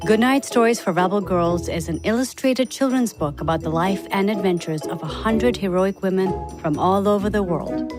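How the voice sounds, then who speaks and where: A woman announcer reading a scripted podcast introduction and promo. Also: mid-range voice. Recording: music bed behind the voice.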